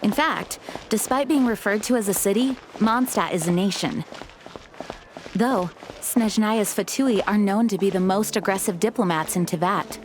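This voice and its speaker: A woman playing a dutiful young knight commander in a video game, speaking in a firm, measured, formal way. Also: medium pitch, slight background noise, close to an everyday voice. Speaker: acting leader of knights. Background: game score.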